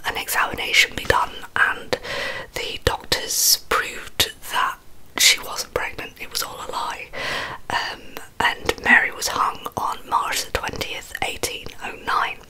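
A woman in her twenties with an English accent telling stories in a soft, breathy ASMR whisper, close to the microphone, slightly hesitant. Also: rough recording. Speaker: ASMR video host. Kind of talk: whispered ASMR true crime storytelling. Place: small carpeted bedroom. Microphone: large microphone very close in front of the mouth.

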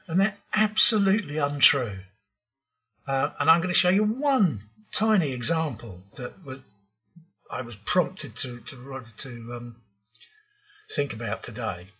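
A man's voice: medium pitch, sharp tone, husky, highs cut off. An older man hosting a programming tutorial, talking through slides in a frank, opinionated way with frequent ums. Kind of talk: narrated slide lesson.

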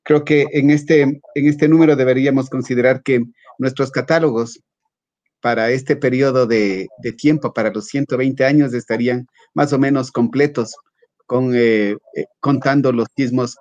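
A middle-aged man explains like a scientist on a panel. He speaks haltingly, doubling back on words and tagging phrases with a question. The sound is a dry, slightly dull video-call recording. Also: medium pitch, fairly even intonation, darkish tone, no echo. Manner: halting, repeats words, frequent questioning "¿no?" tags. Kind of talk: explanatory academic panel talk.

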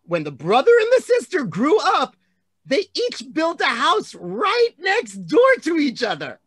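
A middle-aged man voicing an excitable, big-hearted dinosaur hand puppet, telling a children's story with theatrical delivery. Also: very high-pitched, unhurried, no room sound, exaggerated voice. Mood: enthusiastic. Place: room at home. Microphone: video call, computer mic.

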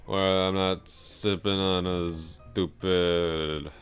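Casting: male actor as tipsy, smitten male coworker for ASMR roleplay; extremely low voice, slow halting rambles, bashful and self-deprecating. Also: level tone, smooth, soft background music. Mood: apologetic, woozy. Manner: rambling, halting, repeating himself. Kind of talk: intimate ASMR roleplay acting.

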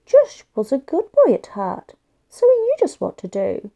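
A woman's voice: high voice, huge pitch swings, moderate pace, creaky, dark tone. A woman reading a picture book aloud expressively and carefully for young children. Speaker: children's story reader.

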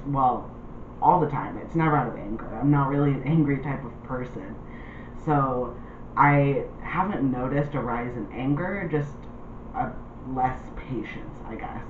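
Young male vlogger, casual delivery, roomy room sound with clear background noise. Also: medium pitch, narrow, tinny audio. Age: young adult. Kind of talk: casual talk to camera. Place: small indoor room.

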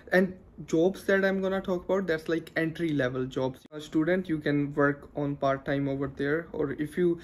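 A man's voice: fairly high pitch, darkish tone. A young man with a Punjabi accent, chatting earnestly to camera in a casual vlog, with many filler sounds, recorded indoors on a small handheld mic. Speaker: vlogger. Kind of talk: casual talking-head vlog. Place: living room in daylight. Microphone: handheld lapel mic close to mouth.

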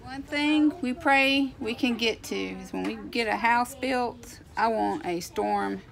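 A woman, talking in a hushed, anxious way into a phone held at arm's length inside a dark home. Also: a little high, takes it slowly, creaky. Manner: hushed and anxious, urging listeners to hear the storm. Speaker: homestead vlogger. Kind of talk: casual vlog chat. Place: dark mobile home during a hurricane, indoors.

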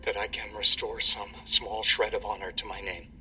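A man's voice: on the low side, level tone, normal speed, pushed and bright. An older actor playing an aging, guilt-ridden male scientist making a grave confession on a recorded video message in a game. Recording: distant, with music behind.

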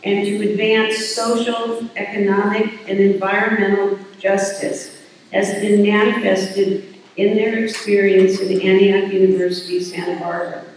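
A woman's voice: mid-range voice, level tone, unhurried, a little mellow, a little hiss or hum. An older woman, a university president, giving formal commencement remarks from a podium with light self-deprecating asides. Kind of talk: commencement address. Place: large hall, at a podium on stage. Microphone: podium microphone. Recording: echoey hall, heard from a distance.

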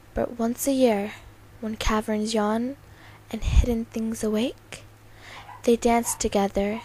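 A young woman amateur auditioning for voice-over, speaking in a halting way with occasional stumbles over words. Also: on the high side, darkish tone. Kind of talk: casual intro and slow poem recitation. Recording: noisy amateur home recording.

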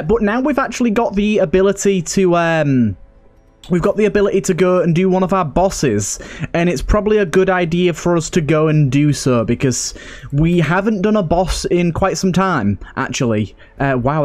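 A man giving casual let's play commentary as a gaming host, chatty and thinking aloud. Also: fairly high pitch.

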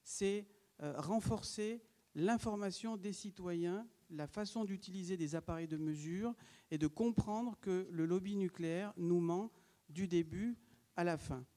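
This is a man, speaking to a conference audience. He presses his points hard and with emphasis. His voice is higher than most men's and sounds dark. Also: unhurried. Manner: insistent, emphatic. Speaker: panel speaker from a radiation monitoring group. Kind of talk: public talk in a debate.

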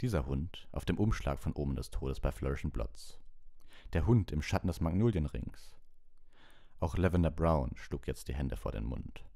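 Deep, mellow male audiobook narrator. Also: expressive, normal speed, vocal fry, dry recording, clean studio sound. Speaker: audiobook reader. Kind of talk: scripted storybook narration.

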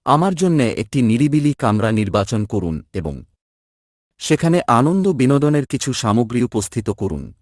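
A man voicing a formal, earnest man of a vizier's court in an animated moral tale, speaking emphatically. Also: medium pitch, moderate pace, no echo, studio quality, lightly acted. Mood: firm, instructing.

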